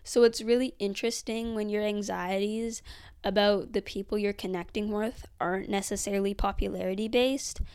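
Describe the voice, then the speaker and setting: A woman's voice: mid-range voice, fairly even intonation, normal speed, a little mellow, clean tone. An eighteen-year-old woman, talking through a personal reflection in a casual, earnest vlog style with lots of fillers. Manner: chatty, filler-heavy, earnest. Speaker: vlogger.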